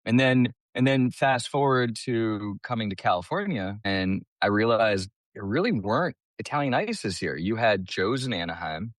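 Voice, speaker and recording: Low, dry-recorded man chatting casually. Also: expressive, normal speed, hardly any pauses, no echo. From US East Coast. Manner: chatty, colloquial, full of "like". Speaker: podcast guest, small business owner. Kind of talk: casual personal storytelling.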